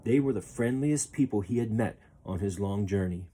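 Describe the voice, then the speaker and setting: A man reading a children's story aloud as a storyteller, expressive and deliberate. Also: lowish voice, breathy edge.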